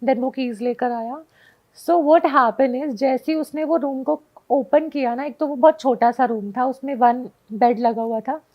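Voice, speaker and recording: High-pitched woman, casual storyteller. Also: steady tone, unhurried, dull audio. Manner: mixes Hindi with frequent English phrases. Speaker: guest telling a personal ghost story.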